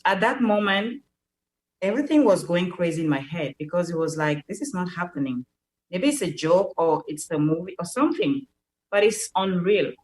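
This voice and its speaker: A woman's voice: mid-range voice, low-quality recording. A young woman from Cameroon with a Cameroonian French accent, a native French speaker, earnestly telling a personal story as a radio show guest and repeating words as she searches for them.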